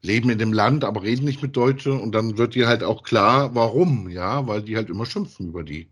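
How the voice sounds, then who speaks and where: A man's voice: lowish voice, fairly quick, a bit bright, slightly rough. A man chatting casually as a podcast host in a colloquial, rambling way, often ending phrases with tag questions. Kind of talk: casual conversational review of audio dramas.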